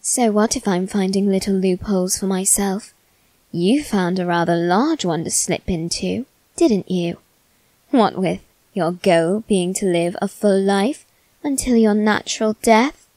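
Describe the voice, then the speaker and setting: Woman voicing a young male demon servant, teasing, haughty, mock-polite, theatrical, mid-pitched, dry close ASMR roleplay. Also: measured pace, warm tone, no room sound, natural voice. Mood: teasing, smug. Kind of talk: ASMR character roleplay addressed to the listener.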